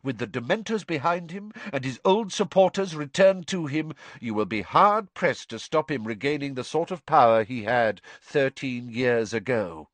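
A calm, commanding elderly wizard who states each point in a cool, measured, unbending way, as a male narrator voices him. The voice sits a little raised and lively in pitch. It is recorded close and dry for a storybook. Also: no room sound, natural voice. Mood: firm.

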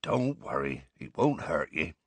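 A man's voice: lowish voice, wildly swooping pitch, moderate pace, pushed and bright, slightly rough, no echo, exaggerated voice. A man voicing a kindly, bumbling giant of a teacher with a hesitant, folksy manner. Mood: patient. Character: kindly, gigantic rustic male teacher, cheerful and bumbling.

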